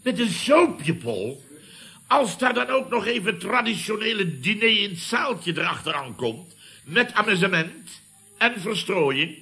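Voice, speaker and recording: An actor playing a pompous, nostalgic company boss who orates emphatically, in a Dutch radio comedy serial. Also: male voice, sits high, big pitch swings, unhurried, bright, forceful tone, full character voice. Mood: indignant. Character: bombastic, nostalgic male boss of a building firm.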